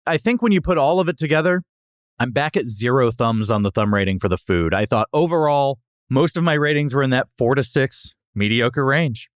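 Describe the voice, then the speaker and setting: A man's voice: medium pitch, animated, moderate pace, smooth, dry recording, clean studio sound. A man hosting a casual, comedic podcast, trading banter as he reviews restaurants.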